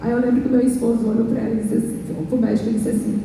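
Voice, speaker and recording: Hoarse Brazilian woman pastor, earnest halting testimony, heard from afar in a booming hall with faint noise. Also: little pitch movement, dark tone. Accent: Brazilian Portuguese. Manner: earnest, halting retelling with restarts. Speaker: pastor and worship minister. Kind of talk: church testimony. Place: large church hall. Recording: echoey church hall, distant mic, faint noise.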